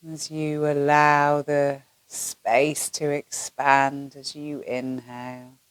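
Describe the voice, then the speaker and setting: A woman teaching yoga nidra, leading a guided relaxation in a soothing, gentle manner with long pauses between phrases. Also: deep voice, little pitch movement, clean tone, no room sound.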